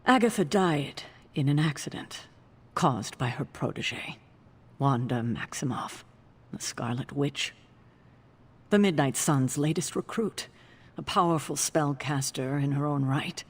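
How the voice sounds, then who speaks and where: A woman playing a stern, commanding older guardian in a video game cutscene, grave, deliberate and authoritative. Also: low voice, lively intonation, husky, heavily acted. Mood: grave, bitter.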